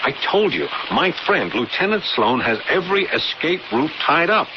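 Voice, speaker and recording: An actor playing an anxious, quick-witted artist, earnest and hesitant, in a radio drama. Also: male voice, fairly high pitch, big pitch swings. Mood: tense, pleading. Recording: muffled, noisy old radio broadcast.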